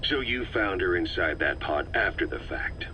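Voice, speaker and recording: A man's voice: low-pitched, lively intonation, moderate pace, pushed and bright, heavily acted. An actor playing a mocking, coldly accusing male interrogator in a video game, sarcastic and pressing. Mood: probing, sarcastic. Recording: noisy cassette tape recording.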